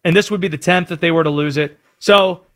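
A man with an American accent hosting sports talk in an emphatic, animated way, often asking rhetorical questions. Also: on the high side, fairly quick. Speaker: sports talk show host.

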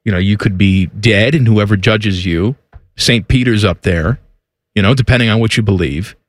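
A man's voice: fairly low pitch, moderate pace, no echo. A man co-hosting a sports radio show, wisecracking and riffing playfully. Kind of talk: casual banter.

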